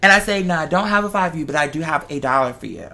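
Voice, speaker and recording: Bright, sassy American woman telling stories. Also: deep voice, expressive, rapid-fire. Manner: sassy, emphatic, conversational. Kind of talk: casual chat, animated storytelling.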